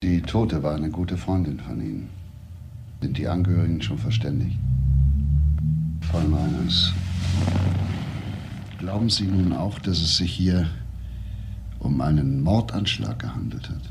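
An actor playing a methodical police detective in a German radio crime drama, questioning formally with searching pauses. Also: male voice, a bass voice, gravelly, background noise, exaggerated voice. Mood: probing, sober.

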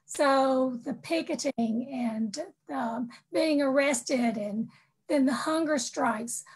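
A woman on an online panel, adding a point in a measured, explanatory way. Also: high-pitched, breathy edge, low-quality recording. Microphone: video call.

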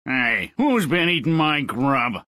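A man voicing a bossy, excitable dwarf leader in a comic fairy-tale game, with a blustering manner. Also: mid-range voice, slow, dry recording, full character voice. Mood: indignant.

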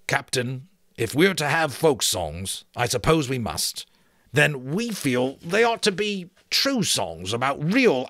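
Weary aging rogue outlaw, voiced by a man, hesitant, complaining, slow. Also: mid-range voice, a bit bright, dry recording, studio quality, full character voice.

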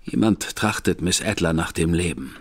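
An actor playing a reserved, earnest gentleman who speaks in a measured, formal way. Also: low voice, expressive, a little hiss or hum. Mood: grave, earnest. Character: grave, guarded detective's brother, reserved gentleman. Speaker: Mycroft Holmes. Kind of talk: radio play acting.

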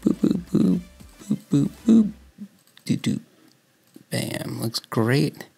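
Guy slowly talking thru a computer how-to, super creaky low voice, little music underneath. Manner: relaxed, walks through clicks, playful sound effects. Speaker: DIY show co-host. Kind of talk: screen-recording voice-over tutorial.

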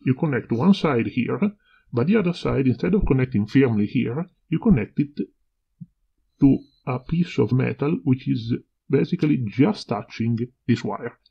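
A man with a husky, slightly gravelly voice presenting an informal hands-on electronics explanation. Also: medium pitch. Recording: dull, lo-fi home video audio.

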